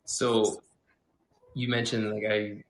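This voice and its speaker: A man in his twenties from Hawaii, a guest chatting casually in a livestream interview, relaxed with many fillers. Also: fairly low pitch, slow pace, poor audio. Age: 20s.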